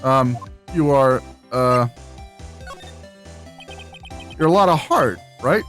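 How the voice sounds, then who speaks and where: Woman playing a young man, game lawyer, low pitch, wry, awkward, hesitant, muttered asides. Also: lively intonation, slow, soft background music, heavily acted.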